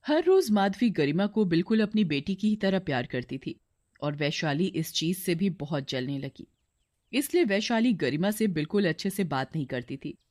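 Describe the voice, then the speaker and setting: A woman's voice: on the low side, darkish tone. A woman reading scripted narration as the storyteller of a moral tale.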